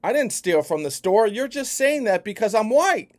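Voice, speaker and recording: A man voicing a defensive young male shoplifter who protests in a high, loud and hurried way. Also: very animated.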